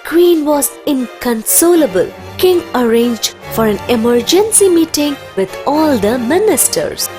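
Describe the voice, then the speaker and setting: A woman reads scripted children's story narration. Her voice is somewhat high and very expressive, paced slowly, with music clearly audible behind her.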